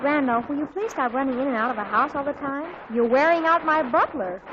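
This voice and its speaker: A breathless, gushing teenage girl played by a woman in a 1940s radio comedy, fussing over every detail of her party. Her voice sits high. It comes through a dull, hissy old broadcast. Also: a little fast, lightly acted. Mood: excited, gushing.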